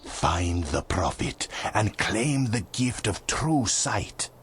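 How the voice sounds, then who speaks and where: A man's voice: lowish voice, big pitch swings, takes it slowly, a little hiss or hum. A man playing a grave, commanding mentor in a video game cutscene, solemn and declamatory as he directs chosen heroes. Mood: stern, urging.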